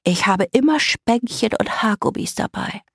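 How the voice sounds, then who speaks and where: Lady doing a snooty little girl w a blocked nose, squeally n high, storybook character. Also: expressive, moderate pace, creaky, husky, dry recording, studio quality, full character voice. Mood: proud, pleased. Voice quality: nasal, stuffy-nosed. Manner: squealing, affected. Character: prissy, girly young girl, proud and easily disgusted.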